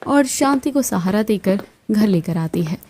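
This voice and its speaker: A woman's voice: mid-range voice, expressive, measured pace, mellow, husky. A woman reading scripted narration for a cartoon story.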